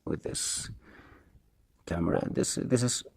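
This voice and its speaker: A man with a Spanish accent and Spanish as his first language, giving a casual, unscripted product review in a halting, hesitant way and repeating himself. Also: mid-range voice, creaky, darkish tone, slightly rough. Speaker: tech reviewer, video host.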